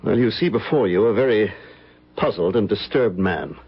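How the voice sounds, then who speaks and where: Headstrong, troubled leading man: lowish voice, sweeping intonation, dramatic vintage radio mystery style, muffled broadcast. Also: lively intonation, normal speed, gravelly, breathy edge, heavily acted. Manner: intense, dramatic. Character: troubled, headstrong male guest haunted by a mystery. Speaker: lead actor and narrator. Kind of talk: old-time radio drama acting.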